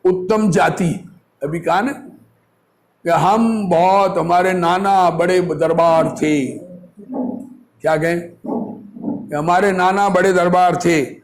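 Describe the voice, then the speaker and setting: A man's voice: sits high, steady tone, slow, long pauses, rough recording. A man teaching scripture in a religious discourse, reading aloud and explaining it in a didactic, question-and-answer way. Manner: didactic, asks rhetorical questions and answers them.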